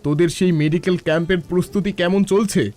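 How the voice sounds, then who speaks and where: A man voicing a kindly, encouraging village schoolteacher in a cartoon, talking with fatherly warmth. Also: sits high, fairly even intonation, fast talker, close to an everyday voice.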